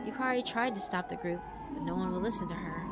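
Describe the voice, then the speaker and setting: A woman narrating a horror visual novel in a steady, measured way. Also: fairly low pitch, loud music behind the voice.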